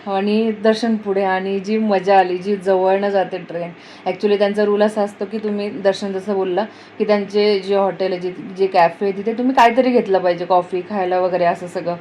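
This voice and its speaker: Female travel vlogger, medium pitch, conversational storyteller with repeated phrases, some noise around her. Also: steady tone, normal speed, warm tone. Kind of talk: casual vlog chat.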